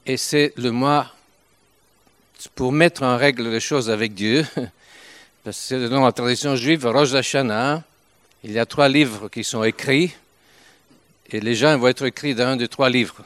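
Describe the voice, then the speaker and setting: A man in his seventies from Italy with an Italian accent, giving an informal sermon in a halting way, with frequent restarts and pauses. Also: medium pitch, fairly bright tone, smooth, no room sound. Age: 70s. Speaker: preacher.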